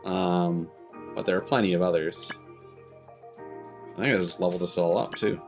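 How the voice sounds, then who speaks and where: A man's voice: sits low, steady tone, moderate pace, faint music behind. A man giving casual, rambling and candid live-stream commentary as a game streamer, with a dryly critical manner.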